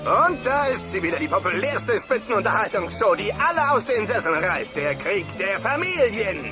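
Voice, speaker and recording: A man's voice: high-pitched, very animated, moderate pace. A man playing a bombastic game show announcer in a cartoon audio play, hyping the show. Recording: dull cassette, loud music and crowd.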